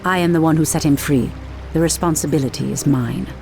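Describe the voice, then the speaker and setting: A regal elven high priestess in a fantasy game cutscene. Her delivery is firm, proud and dramatic, with music clearly playing behind it. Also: fairly quick, darkish tone. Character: proud, resolute female night elf high priestess.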